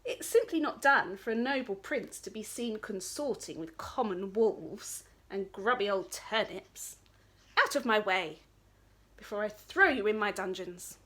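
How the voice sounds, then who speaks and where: Woman as a snobbish storybook prince, haughty, pompous, expressive pitch. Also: a little slow. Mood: disdainful.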